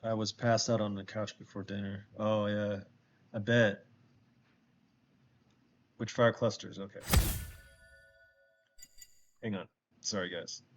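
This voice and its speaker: A man's voice: fairly low pitch, level tone, takes it slowly, long pauses, dull audio, faint music behind. A man with a stuffed-up nose from allergies, casually chatting with his viewers while playing a game and thinking his choices through aloud. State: congested from allergies. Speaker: streamer. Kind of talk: casual game streaming chat.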